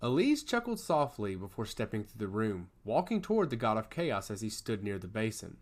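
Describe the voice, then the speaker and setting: A man's voice: fairly low pitch, very animated, fairly quick. A man narrating a scripted fantasy story in a dramatic, emphatic way.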